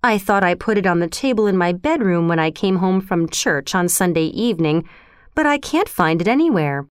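Woman voicing a stern, clear-voiced woman guardian. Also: on the low side, lively intonation, dry recording, clean studio sound, natural voice. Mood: worried, stern. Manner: firm, measured, reproving. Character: stern, sensible, restrained woman guardian of a girl. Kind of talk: storybook character voice.

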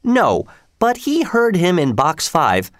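A proud older theater lady, played by a male narrator, speaks high and swooping. She is emphatic and easily flustered, like a character from a storybook reading, in a dry close recording. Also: wildly swooping pitch, slow, no echo, full character voice. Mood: polite, earnest. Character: indignant older French woman, proud theater attendant.

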